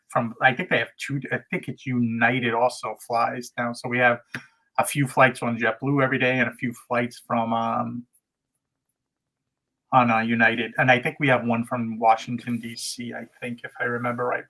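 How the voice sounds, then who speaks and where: A man's voice: medium pitch, a bit bright, poor audio. A man from the United States, a guest on a casual livestream, explaining things in a meandering way with many restarts. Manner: meandering, full of restarts and hedges.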